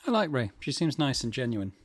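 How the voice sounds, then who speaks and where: A man's voice: mid-range voice, very animated, brisk, no echo. A man hosting a gaming channel, giving casual, musing commentary and reading game text aloud.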